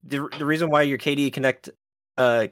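A man in a mid-range voice explains software on a casual podcast, steady and patient with plenty of fillers. His voice sounds close and dry, with no room around it. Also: medium pitch, fairly quick, no room sound. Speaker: podcast co-host. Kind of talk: casual tech podcast chat.

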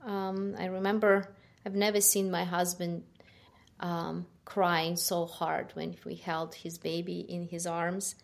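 A middle-aged woman from Ukraine with a Ukrainian accent, giving her personal testimony as a guest in a slow, halting, soft-spoken way. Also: fairly low pitch, little pitch movement, vocal fry.

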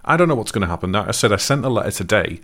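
Deep-voiced earnest man. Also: expressive, racing, a bit bright. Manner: thoughtful, earnest, rambling with restarts. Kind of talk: casual chat.

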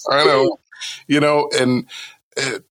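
A relaxed male host of a legal podcast, speaking at an average, middle pitch. He talks easily and pokes fun at himself with light chuckles, in a casual interview. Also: slow pace, low-quality recording. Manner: easygoing, self-deprecating, chuckles. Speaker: podcast host, appellate lawyer.

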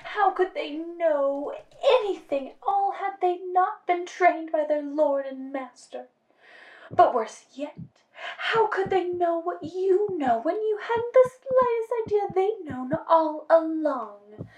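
A woman's voice: very high voice, animated, takes it slowly, a little mellow, lo-fi sound, exaggerated voice. A woman playing a sly, secretive young protege who talks in an arch, playful, theatrical way. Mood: teasing. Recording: roomy, from a distance, music behind.

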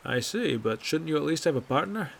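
A man's voice: on the high side, sing-song, fairly quick, vocal fry, voice pushed up, exaggerated voice. Man doing a boisterous teasing Nord hunter. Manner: boisterous, needling. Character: gruff jovial male Nord hunter, teasing.

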